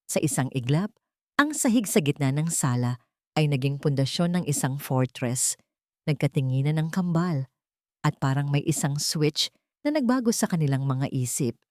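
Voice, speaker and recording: Woman, Filipino accent, low pitch, animated intonation, dry close sound, dramatic story narration. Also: normal speed, no echo. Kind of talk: expressive scripted storytelling.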